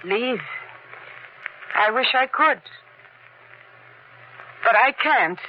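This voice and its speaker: A woman's voice: on the high side, lively intonation. A woman playing a fearful, guilt-ridden woman in 1940s radio melodrama style, intense and brooding. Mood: despairing dread. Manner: intense, brooding, dramatic pauses. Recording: muffled, noisy 1940s radio recording.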